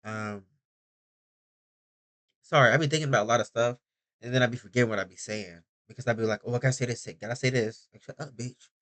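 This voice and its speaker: A man's voice: medium pitch, quick pace, pauses often, fairly bright tone. A young man rambling through a casual video diary, chatty and often interrupting himself. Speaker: vlogger.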